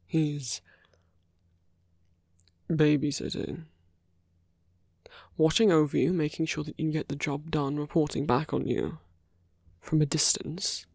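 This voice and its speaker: A suave male villain, played by a voice performer in a romantic ASMR audio drama. The voice has a gravelly fry and sits very dry and close. Phrases come deliberately, hushed and broken by pauses, in a protective and persuasive manner. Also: medium pitch, little pitch movement, dry recording. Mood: accusing, concerned. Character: suave male villain urging a captured hero to flee.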